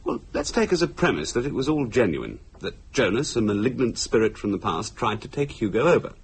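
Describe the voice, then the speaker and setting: An actor playing a musing husband in a supernatural radio drama, reasoning aloud with deliberate emphasis. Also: male voice, lowish voice, sing-song, a little hiss or hum.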